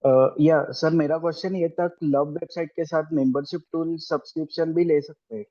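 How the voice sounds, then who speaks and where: Indian guy asking a question super fast, bit high voice, lofi call. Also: steady tone, dark tone. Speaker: class participant asking a question. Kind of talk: quick Hindi-English question. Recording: dull lo-fi call audio.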